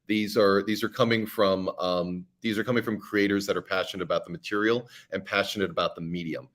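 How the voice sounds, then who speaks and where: A man's voice: sits low, steady tone, fairly quick, a bit bright. A middle-aged man who creates fiction podcasts, talking earnestly as an interview guest and often restarting his sentences. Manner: earnest, with frequent restarts and repeated words. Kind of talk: conversational interview answers.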